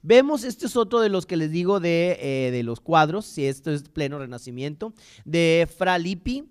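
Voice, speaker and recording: A man's voice: fairly high pitch, big pitch swings, moderate pace, smooth. A man with a Mexican accent giving an informal history lecture, rambling and often repeating or correcting his words.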